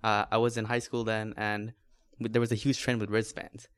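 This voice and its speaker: A young man from Bangladesh, a student co-founder, telling his story at a relaxed pace in an interview. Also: fairly low pitch, moderate pace, clean tone, no echo. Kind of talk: interview answers.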